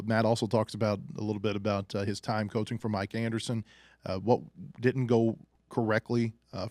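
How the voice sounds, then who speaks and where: Man, podcast host, medium pitch, casual announcing, hesitant pauses, dry close recording. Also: dry recording. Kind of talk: scripted ad read and casual show introduction.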